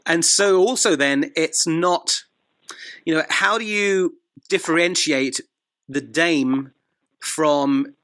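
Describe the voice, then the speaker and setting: A man's voice: a little high, slow, a bit bright. A man hosting an interview, asking questions with brief prompts and the odd dry quip. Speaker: interviewer, host.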